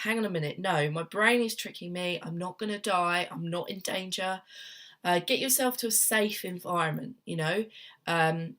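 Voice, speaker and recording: A young woman with a slightly low voice talks through coping tips in a relaxed, gentle way, full of ums and little restarts. She has a cold and sounds a bit sniffly. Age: young adult. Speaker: therapist. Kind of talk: casual self-help talk to camera. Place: home room at a desk.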